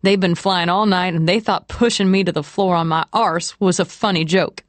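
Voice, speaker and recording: A woman's voice: lowish voice, fairly even intonation, normal speed, no room sound, natural voice. A woman voicing a playful, easygoing young bridegroom who jokes along in an audiobook.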